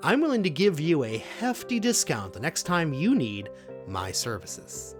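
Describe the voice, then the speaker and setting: Self-promoting male sleuth for hire played by an actor, grandiose, very animated pitch, audio drama, soft music. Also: unhurried. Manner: grandiose, salesmanlike. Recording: music under the voice.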